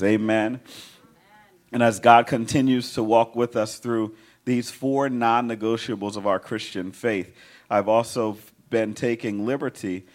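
A pastor preaching a sermon in a hoarse, raspy voice, building energy and talking directly to his congregation. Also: lowish voice, darkish tone. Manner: preaching with rising call-and-response energy. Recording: sermon with organ music behind. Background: organ and instrumental music.

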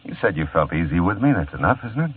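An actor playing an easygoing, wisecracking private detective who talks in a dry, relaxed way and narrates the story. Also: male voice, deep voice, quick pace, slight background noise. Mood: easy charm. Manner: dry, laid-back, wry. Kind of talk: 1940s radio acting. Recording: tinny old radio broadcast with loud music.

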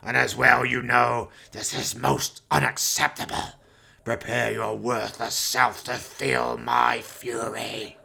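A man voicing a menacing, wrathful dark master who makes pompous, drawn-out threats in a radio play. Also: mid-range voice, level tone, vocal fry, slightly rough, exaggerated voice. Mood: furious.

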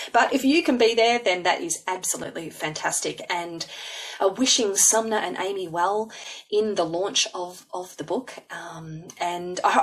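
A woman's voice: fairly low pitch, recorded from a distance. A woman hosting a podcast, introducing her guest in a casual, rambling way with many fillers and restarts. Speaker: podcast host.

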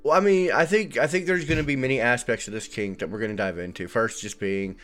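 A man hosting a chatty podcast, his voice a little gravelly as he gets over an illness, cracking puns as he talks. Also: medium pitch, fast, a bit bright, soft background music. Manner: punning, joking. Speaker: podcast host. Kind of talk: casual chat.

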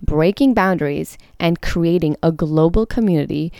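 A woman's voice: low voice, moderate pace, vocal fry, warm tone, clear, resonant tone, no room sound, clean studio sound. A woman hosting a podcast, reading scripted narration. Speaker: podcast host and interviewer.

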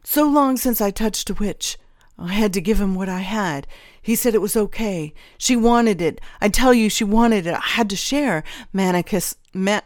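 A woman's voice: lowish voice, no room sound, clean studio sound. A woman voicing a craven old male traitor in an audiobook, whining and pleading frantically in a weak voice. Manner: frantic pleading with repeated phrases.

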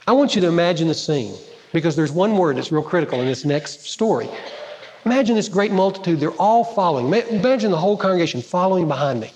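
Pastor, man, higher voice, dramatic sermon delivery, long church reverb. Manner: dramatic preaching, swings between loud emphasis and quiet. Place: church sanctuary. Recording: large hall with long reverb.

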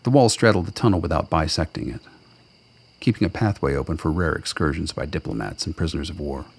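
A man with a very deep, husky voice narrates a radio drama. His scripted delivery is measured and faintly wry, in a storytelling style. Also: expressive.

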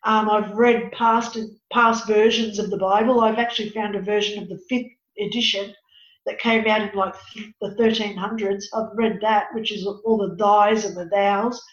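A woman in her fifties with a raspy voice, speaking as an interview guest and life coach. She talks in an emphatic, preachy way and often says "you know.". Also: mid-range voice, steady tone, normal speed. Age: 50s. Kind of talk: earnest personal conversation. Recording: echoey, dull lo-fi audio.

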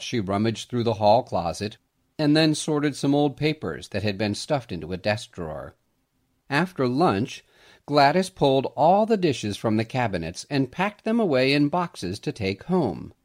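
Expressive male storyteller with lively intonation. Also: medium pitch, measured pace. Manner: expressive read-aloud storytelling. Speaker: storyteller, podcast host. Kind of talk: scripted narration of a Christmas story.

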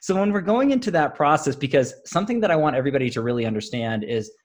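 A man's voice: a little high, expressive, fast. A man hosting a live real estate interview show, effusive and full of praise, often murmuring agreement while his guest talks. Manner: effusive, repeats praise, frequent hmm and wow. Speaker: podcast host.

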